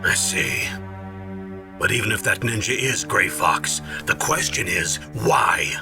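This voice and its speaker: An actor playing an intense soldier asking probing questions in a dramatic way in a video game. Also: male voice, lowish voice, animated, slow, music in the background.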